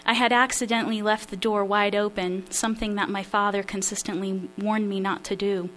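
A woman reads from her own memoir at a literary event, her voice at a middle pitch. She is slightly nervous and self-deprecating, pausing with small fillers between passages. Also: little pitch movement, fairly bright tone, a little hiss or hum, rough recording. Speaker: memoir writer reading her work.

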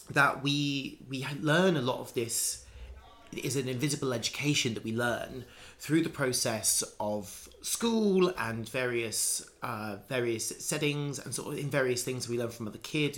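A man's voice: medium pitch, unhurried, fairly bright tone. A man in casual conversation, musing at length with many restarts and fillers. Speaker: conversation partner.

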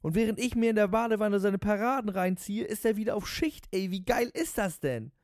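Storybook cabbie, performed by a man: high-pitched, loudmouthed fanatic, slangy and shouting, very dry recording. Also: fairly quick, dry recording, lightly acted. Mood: indignant, fired up. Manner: slangy, bragging, shouting exclamations. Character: ecstatic, loudmouthed sports-mad male taxi driver.

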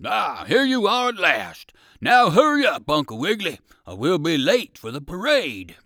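A man's voice: a little high, big pitch swings, normal speed, fairly bright tone, no echo, clean studio sound. A man voicing a kind old circus dog soldier in a children's story, hearty, polite and emphatic. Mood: hearty, urging.

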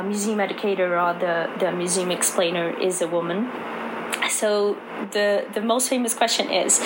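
Hesitant Brazilian woman, noisy background. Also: medium pitch. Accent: Brazilian Portuguese. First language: Portuguese. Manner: hesitant, many fillers and restarts. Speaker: cultural project coordinator. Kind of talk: remote interview answers.

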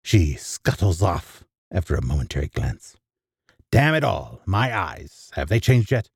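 A man giving a theatrical first-person horror narration with a gravelly, husky voice, dropping into hushed asides. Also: low voice, big pitch swings, measured pace, dry recording, clean studio sound. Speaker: storyteller.

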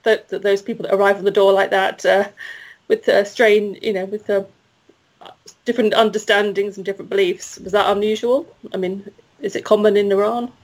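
A woman's voice: medium pitch, rough recording. A woman, a festival jury member, chatting enthusiastically about a film with frequent "um"s and laughs. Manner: enthusiastic, laughing, hesitant with fillers. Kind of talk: casual film discussion.

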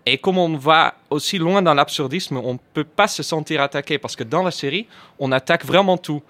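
A man's voice: on the high side, fairly bright tone, dry recording. Dutch-accented man, dry close sound. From Netherlands. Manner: playful, laughs often, halting fillers. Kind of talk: chatty radio column.

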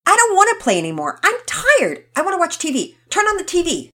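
A woman voicing an excitable, demanding little boy in a storybook reading. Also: very high-pitched, a little fast, voice pushed up, heavily acted. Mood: whiny.

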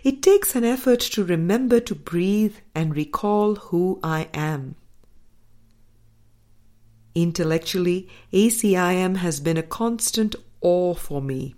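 Female audiobook reader for spiritual text; lower register, very unhurried, deliberate phrasing. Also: expressive, dark tone. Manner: measured, deliberate phrasing with pauses. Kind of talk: scripted spiritual book reading.

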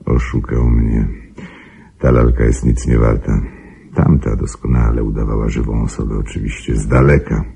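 A cold radio drama killer, super deep crackly raspy voice, menacing and calculating. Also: male voice, level tone, normal speed, warm tone, full character voice. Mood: self-justifying. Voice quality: very deep, gravelly, husky. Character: cold, calculating murderer justifying himself to his victim. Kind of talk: radio play acting.

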